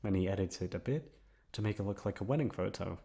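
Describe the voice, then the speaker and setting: A man playing an obsessive, lovesick young man in an intimate ASMR roleplay, coaxing softly with drawn-out words and emphatic repetition. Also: low voice, gravelly. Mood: wistful, coy. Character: obsessive lovesick young man confessing to his best friend.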